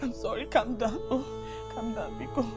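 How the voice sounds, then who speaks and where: A woman playing a young wife who softly begs her husband's forgiveness. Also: a little high, steady tone, moderate pace, faint music behind, natural voice. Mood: pleading. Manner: soft, begging, near whisper. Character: remorseful pleading young wife.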